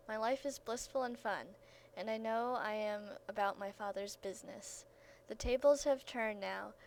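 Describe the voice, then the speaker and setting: Female testimony reader, middle register, slightly noisy recording. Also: medium pitch. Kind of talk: reading a first-person testimony aloud. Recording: faint background noise and ambience.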